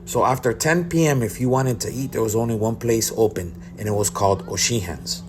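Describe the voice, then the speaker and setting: A man's voice: medium pitch, brisk, soft background music. A man narrating a cruise review voice-over in a blunt, complaining way.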